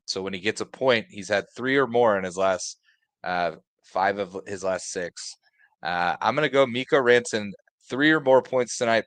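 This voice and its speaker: A man giving hockey betting picks in a halting, casual way with many ums and uhs. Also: on the low side, fairly bright tone, clear, resonant tone, dry recording. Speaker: betting analyst.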